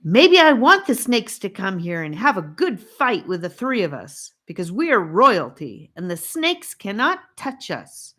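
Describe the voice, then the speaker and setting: A woman voicing a defiant boy captive who shouts and demands answers. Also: very high-pitched, very animated, unhurried, pitched above the performer's own voice, full character voice.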